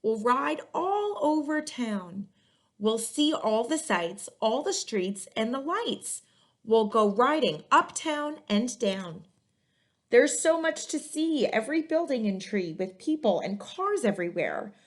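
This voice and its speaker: A friendly, tall storybook taxi driver read by a woman with a fairly high voice. He speaks in gentle, inviting rhymes with a singsong swing. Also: very animated, slow pace. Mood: enthusiastic.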